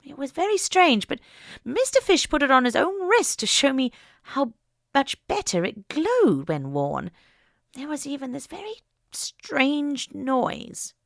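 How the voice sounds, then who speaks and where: A woman playing a frail, flustered elderly lady in an audiobook, genteel and proper with a breathy, hesitant manner. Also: sits high, very animated, measured pace, no echo, clean studio sound, voice pushed up, exaggerated voice. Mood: dreamy, wondering. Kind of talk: audiobook character acting.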